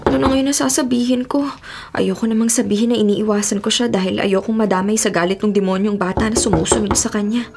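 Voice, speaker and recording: A woman's voice: medium pitch, fairly even intonation, fast talker, noisy background, lightly acted. An actress playing a frightened, evasive young female college student in a Filipino radio horror drama. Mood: anxious, reluctant. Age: young adult.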